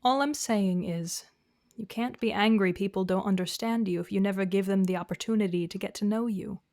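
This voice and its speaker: A woman playing a composed, gently corrective therapist in an audio drama, speaking in a measured, patient, questioning way. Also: medium pitch, no echo, studio quality, natural voice. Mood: concerned, probing. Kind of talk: naturalistic audio drama dialogue.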